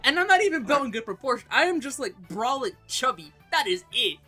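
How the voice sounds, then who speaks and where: A man hosting a casual interview, excitable and quick to laugh. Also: very high voice, soft background music. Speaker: interview host.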